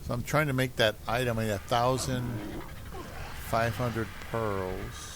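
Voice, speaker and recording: Gravelly man musing while gaming. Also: medium pitch, fairly even intonation, a little slow, background noise. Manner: rambling, musing aloud while playing. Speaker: gaming streamer. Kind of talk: casual gameplay commentary.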